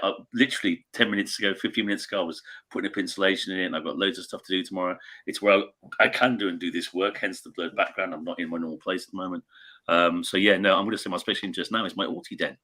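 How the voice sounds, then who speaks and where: A man's voice: deep voice, pushed and bright. A man in his late fifties chatting casually on a video call, rambling and going off on tangents. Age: 50s. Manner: rambling, self-interrupting. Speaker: co-host. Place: small home room or shed, indoors. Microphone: webcam on video call.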